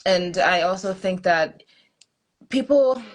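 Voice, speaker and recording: A young American woman, a soca artist guest, chatting casually with many ums and quick laughs. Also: fairly low pitch, lively intonation, a little hiss or hum. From United States. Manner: chatty, laughs easily, thinks aloud with ums. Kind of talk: casual interview chat.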